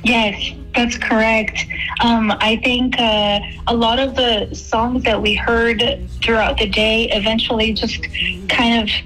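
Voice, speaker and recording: A woman's voice: fairly high pitch, flat delivery, takes it slowly, fairly bright tone, faint music behind, poor audio. A young woman from El Salvador, a musician, answering interview questions in a chatty way with many fillers and restarts. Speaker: guest musician.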